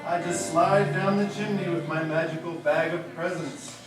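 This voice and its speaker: Man as jolly old gift-giver, gentle, fairly high male voice, puppet show, very echoey. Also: steady tone, moderate pace, lightly acted. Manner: gentle, reassuring, explaining. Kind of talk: children's puppet show acting. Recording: echoey, distant, music behind.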